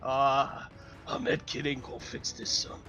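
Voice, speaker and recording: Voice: medium pitch, monotone, unhurried, highs cut off, over loud music. A player bantering casually during a tabletop roleplaying game.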